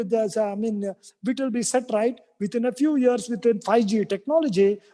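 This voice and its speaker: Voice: mid-range voice, mellow. A native Kannada speaker with an Indian English accent, giving a formal speech at a university event and earnestly listing points in rhythmic series. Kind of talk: formal prepared speech with thanks. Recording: long reverb of a large hall.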